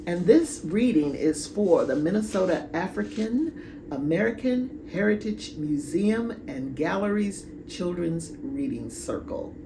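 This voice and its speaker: A woman reads a picture book aloud to children. Her voice is creaky and slow-paced, with drawn-out, expressive emphasis. Clear background noise runs under the amateur recording. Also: fairly low pitch, echoey room. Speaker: children's storybook reader. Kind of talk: expressive read-aloud storytelling with asides.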